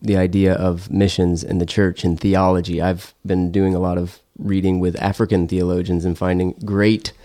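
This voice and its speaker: Low-voiced male interview host. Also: level tone, normal speed, few pauses, warm tone. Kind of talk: conversational interviewing.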